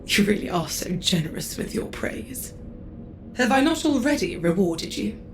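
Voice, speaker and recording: A woman's voice: medium pitch, animated, faint music behind, close to an everyday voice. Actress doing a snooty council envoy lady, formal, takes her time. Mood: condescending. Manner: slow, formal, condescending. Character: haughty woman council envoy, condescending. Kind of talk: game cutscene voice acting.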